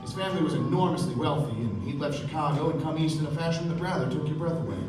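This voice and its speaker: A man playing a reflective, well-bred young narrator of the 1920s in a measured, literary stage delivery. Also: a little high, lightly acted. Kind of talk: scripted first-person stage narration. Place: stage of a small theatre. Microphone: far camera. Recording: echoey theatre from far off, music behind.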